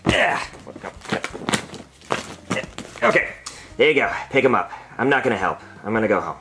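A man voices a know-it-all schoolboy in a comedy skit. He explains pedantically in a mid-pitched voice, sounding sensible and a little exasperated. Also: animated, fast talker, pushed and bright. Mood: smug.

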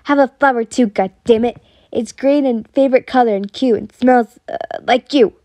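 Woman as brash young man, boastful, blunt, demanding, high mellow voice, storybook character. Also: little pitch movement, normal speed, no echo, lightly acted.

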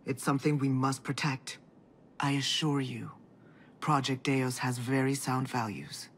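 An actress playing a composed older female leader in a video game, speaking in a measured, formal, earnest way. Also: extremely low pitch, fairly even intonation, husky, exaggerated voice. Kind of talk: cinematic video game acting.

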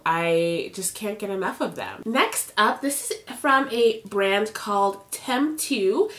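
A woman's voice: mid-range voice, fairly bright tone. Congested, nasal young woman vlogger. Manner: chatty and upbeat, with fillers like "uh" and "you know". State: congested, nasal from a sinus infection. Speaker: beauty vlogger. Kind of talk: casual product review vlog. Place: indoor home filming setup. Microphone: camera at close range, facing the camera.

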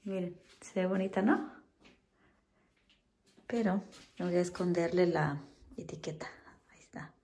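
Home-decor vlogger, woman, relaxed and chatty, lots of little fillers, slight fry in the voice. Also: fairly low pitch, unhurried, pauses often, a little hiss or hum. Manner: chatty, full of diminutives and fillers. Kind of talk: casual how-to chat. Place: at home indoors.

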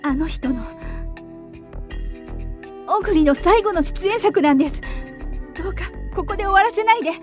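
A woman's voice: very high-pitched, animated, moderate pace, long pauses, faint music behind. A woman playing a refined, commanding actress with formal, ladylike, insistent speech in a Japanese audio drama. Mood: pleading, resolute. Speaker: voice actor.

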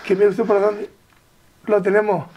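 A woman's voice: mid-range voice, flat delivery, a little slow, a little mellow, slight background noise, poor audio. A woman hosting a conversational interview, speaking in short broken-up phrases. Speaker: interviewer, show host.

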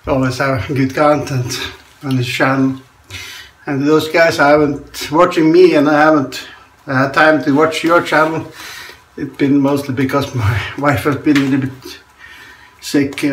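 A man's voice: medium pitch, flat delivery, a little slow, a little hiss or hum, poor audio. Norwegian man chatting while shaving. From Norway. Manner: rambling, chuckles often between remarks. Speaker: shaving vlogger. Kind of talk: casual chat while shaving. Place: small tiled bathroom indoors. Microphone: camera in front at close range.

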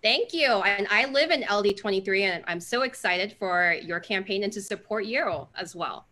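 A woman's voice: mid-range voice, expressive, normal speed, bright, forceful tone. A young woman who grew up in France with Mandarin as her first language, a lawyer and activist speaking as a guest over a video call from her living room, cheerful and with many "um"s. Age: young adult. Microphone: video call webcam.

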